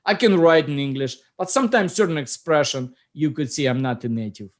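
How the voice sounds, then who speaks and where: A man's voice: fairly high pitch, fairly quick. A middle-aged man with a Russian accent, hosting a casual chat about his app and often searching for words. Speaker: app founder and host. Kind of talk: casual video call chat.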